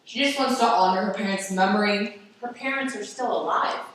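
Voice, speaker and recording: A woman's voice: medium pitch, fairly quick, a bit bright, lightly acted. A woman acting a breezy, wisecracking sister in an amateur radio play. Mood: wry. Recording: very echoey room.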